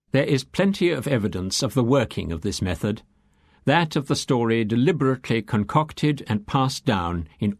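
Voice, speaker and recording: A man reading scripted, lecture-like exposition for an audiobook in a measured, deliberate way, with emphatic phrasing. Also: mid-range voice, a little mellow, no echo, studio quality. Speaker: audiobook reader.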